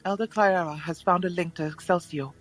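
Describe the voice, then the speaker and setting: An actor playing a worried young alien warrior, protective and earnest, with formal diction in an audio drama. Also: male voice, sits high, fairly even intonation, a little fast, faint music behind. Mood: grave, determined.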